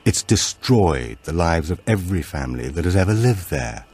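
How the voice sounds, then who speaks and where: A man voicing a bitter, grave older woman who speaks slowly, in an audiobook thriller. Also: deep voice, sing-song, darkish tone, slightly rough, full character voice. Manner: slow, bitter.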